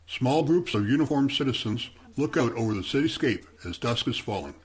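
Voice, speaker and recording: A man narrating the scenes of a radio play in a measured, descriptive way. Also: mid-range voice, lively intonation, breathy edge.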